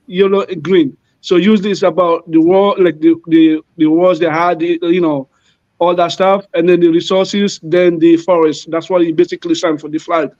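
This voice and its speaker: A man from Guinea, a native French speaker, explaining at length in casual talk, halting with many fillers and restarts. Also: sits high, no room sound. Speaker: panel guest. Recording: dull lo-fi dry sound.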